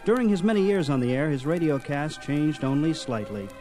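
A man's voice: on the high side, very animated, fairly quick, a little mellow, soft background music, poor audio. A man giving scripted documentary narration as the host of a radio history programme.

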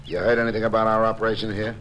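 An actor playing a tough, suspicious drilling boss in a radio drama, gruff and curt. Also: male voice, lowish voice, level tone, very fast, fairly bright tone, natural voice. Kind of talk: 1950s radio drama acting. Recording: noisy old radio broadcast recording.

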